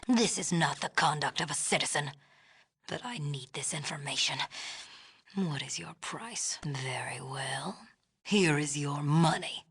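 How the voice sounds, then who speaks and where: A stern female leader from a video game, ruling her closed city with disdain. Her voice sits low for a woman and has a husky edge. She speaks formally and in clipped phrases, with an imperious, superior air. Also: a bit bright, full character voice. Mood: cold, disdainful. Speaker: game character voice actor. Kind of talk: scripted video game dialogue acting.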